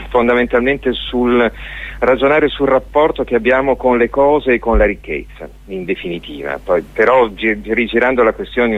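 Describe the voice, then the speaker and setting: A man being interviewed on radio as a guest artist, explaining a show discursively and searching for words. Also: on the low side. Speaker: guest, theatre and dance artist. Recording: narrow tinny phone-like line.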